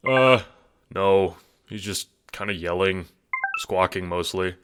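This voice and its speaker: Man playing a deep-voiced wisecracking teenage boy. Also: slow pace, noisy background. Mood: uneasy. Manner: flippant, casual. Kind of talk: audio drama dialogue.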